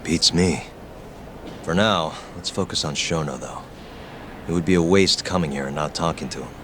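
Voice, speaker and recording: A man's voice: very deep voice, normal speed, a bit bright, a little hiss or hum. A man acting in an English game dub as a laid-back, wry, confident detective who talks casually and unhurriedly. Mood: calm.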